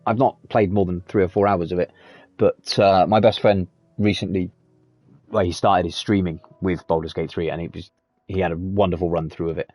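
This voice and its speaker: A man chats casually over a live game stream, rambling and swearing freely. His voice is low, mellow and a bit husky. Faint game music runs behind him. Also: level tone, normal speed, no room sound.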